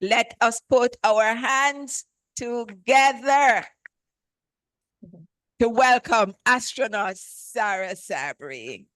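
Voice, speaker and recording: A woman's voice: fairly high pitch, extremely slow, fairly bright tone, dry recording, poor audio. A woman host introducing a guest speaker with enthusiasm, and stopping now and then to correct herself. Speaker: event host.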